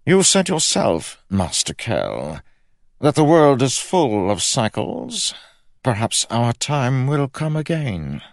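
A man's voice: mid-range voice, sing-song, slow pace, no room sound, studio quality, voice pushed up. A man voicing a pompous, sly, greedy king of England in an audiobook, with an imperious, droll delivery. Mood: sly, amused. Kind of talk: audiobook character acting.

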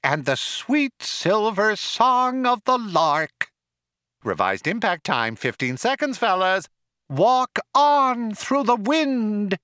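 Chirpy male sci-fi audiobook computer: nasal, high-pitched, whiny, maddeningly over-familiar. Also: very animated, unhurried, sharp tone, smooth, no echo, clean studio sound, full character voice. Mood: blithely cheerful. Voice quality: nasal, whining. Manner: crooning, wailing, over-familiar. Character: chirpy, relentlessly cheerful male ship's computer, singing mawkishly.

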